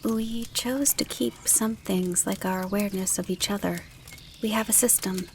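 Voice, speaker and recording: A woman playing a composed, blind refugee seamstress of about fifty in an audio drama, speaking in a measured, matter-of-fact way. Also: medium pitch, steady tone, a little hiss or hum, natural voice. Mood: calm, assured. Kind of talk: amateur audio drama acting.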